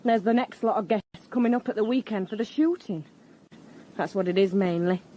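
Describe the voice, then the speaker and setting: A woman's voice: medium pitch, normal speed, dark tone, a little hiss or hum, close to an everyday voice. An actress playing a brash, offhand young working-class woman in a radio crime drama. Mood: offhand, chatty. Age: young adult.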